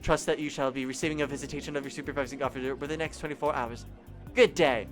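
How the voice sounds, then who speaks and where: A man's voice: medium pitch, fairly even intonation, fast talker, soft background music, natural voice. A man reading the lines of a small, furious young woman who acts as a stiff, condescending superior. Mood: stern. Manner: stiff, formal, condescending wording. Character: tiny, furious, pompous young female superior.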